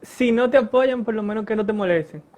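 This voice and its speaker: A man's voice: high voice, a little fast, a little mellow. A man hosting a live interview on a video call, asking questions and agreeing with short replies. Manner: supportive, brief agreeing replies. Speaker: host. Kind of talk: casual interview chat.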